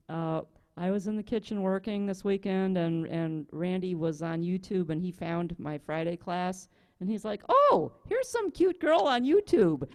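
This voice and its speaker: A woman's voice: lowish voice, a little mellow. A woman with a Wisconsin accent hosting an online music class over a video call, chatting casually in a folksy, informal way. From Wisconsin, USA. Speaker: music class teacher and host.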